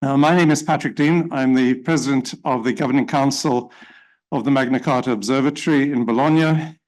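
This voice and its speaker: Middle-aged South African man, formal opening remarks, measured pace, many fillers. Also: medium pitch, steady tone, darkish tone, low-quality recording. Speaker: symposium chair. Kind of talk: formal welcome speech.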